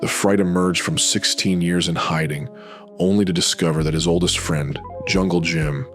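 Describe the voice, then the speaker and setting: A man narrating a pulp noir audio drama in dramatic, brooding, measured phrases. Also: sits low, fairly even intonation, faint music behind.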